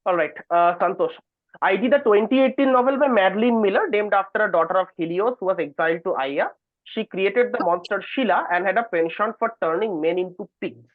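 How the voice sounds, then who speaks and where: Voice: mid-range voice, fairly quick, no room sound. Quizmaster on a thin, phone-like line. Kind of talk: reading quiz questions aloud. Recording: thin phone-like online call audio.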